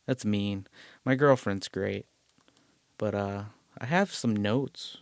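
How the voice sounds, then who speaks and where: A man hosting a casual solo podcast, rambling and profane with self-deprecating asides, recorded close on a mic in a small quiet room. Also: fairly low pitch, takes it slowly, no room sound. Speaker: podcast host.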